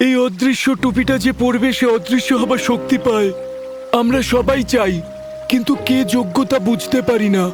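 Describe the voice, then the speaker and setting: Excitable fairy-tale cartoon character, extremely high pitch, clear music underneath. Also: male voice, moderate pace. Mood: argumentative. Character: excitable squabbler over a magic hat, dreamer. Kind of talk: animated fairy-tale dialogue.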